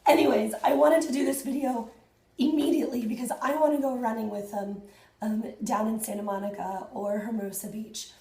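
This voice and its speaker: A woman in her thirties, hoarse and husky after losing her voice, chatting excitedly to viewers in a casual unboxing vlog at home. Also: a little high, brisk, echoey room. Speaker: fitness vlogger. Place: small indoor room at home.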